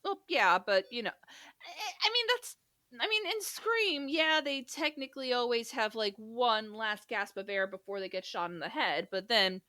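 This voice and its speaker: A woman's voice: fairly high pitch, animated, moderate pace, pushed and bright. A woman co-hosting a podcast in casual, playful, teasing banter. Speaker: podcast co-host.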